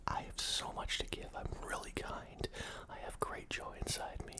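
A man playing a lonely man in his forties who pleads to be seen, haltingly and repetitively, in a breathy whisper for a radio play. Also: natural voice. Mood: desperate, insistent. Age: 40s. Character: desperate, lonely forty-two-year-old man pleading to be seen.

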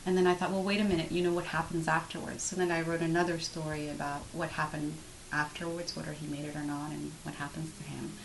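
A woman whose first language is Arabic answers interview questions about her writing, her voice sitting a little low. She speaks in a measured way and circles back to restart phrases. A faint hiss runs under the recording. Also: level tone, creaky. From Morocco. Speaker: author being interviewed.

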